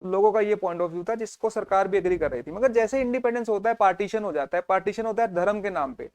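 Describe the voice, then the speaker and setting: A man's voice: high voice, fast talker, darkish tone, no echo. A man teaching an exam revision lesson, telling the history as a story and checking in with his students as he goes. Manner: storytelling, mixes Hindi and English, checks in with students.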